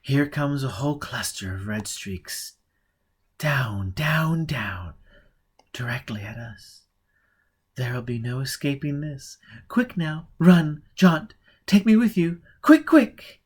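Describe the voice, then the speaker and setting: A woman's voice: very deep voice, animated, takes it slowly, slightly rough, heavily acted. A man voicing an aloof, fierce aristocratic lady. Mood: urgent, excited. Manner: swings from hushed intensity to airy, gracious dismissal. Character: aloof aristocratic young woman, fierce under a gracious surface. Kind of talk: audiobook character voice.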